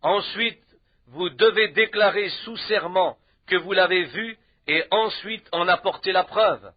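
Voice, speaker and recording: A man's voice: high-pitched, a little slow, no echo. A man delivering a sermon in an emphatic way, driving points home with short repeated affirmations. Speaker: preacher. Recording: dull, lo-fi, dry sound.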